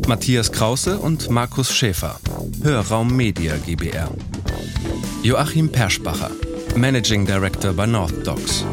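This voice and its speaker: A man reading a formal closing announcement and credits in a measured way. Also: lowish voice. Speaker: narrator. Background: guitar music.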